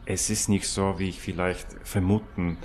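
A middle-aged man giving a reflective personal account as an interviewee, in a halting, pensive way. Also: sits low, flat delivery, darkish tone.

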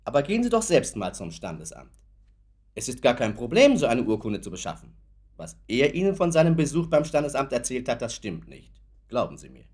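A male lawyer in a radio drama, sober and skeptical. His pitch is average but moves widely as he explains things in a formal, patronizing, matter-of-fact manner. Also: wildly swooping pitch, a little fast, a little mellow. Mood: calming. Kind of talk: German radio play acting.

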